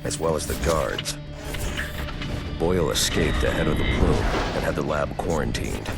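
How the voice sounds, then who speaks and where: A man playing a grim masked vigilante detective in a video game, speaking in a gravelly, terse, stern way. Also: extremely low pitch, normal speed, over loud music. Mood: grave, explanatory. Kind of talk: dramatic video game voice acting.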